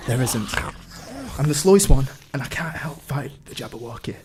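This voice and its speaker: Woman as low-voiced, urgent rabbit, noisy backdrop. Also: expressive, normal speed. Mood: urgent, selfless. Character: timid, self-sacrificing rabbit, slow and loyal. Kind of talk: amateur fantasy radio drama acting. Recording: noisy ambience behind the voice.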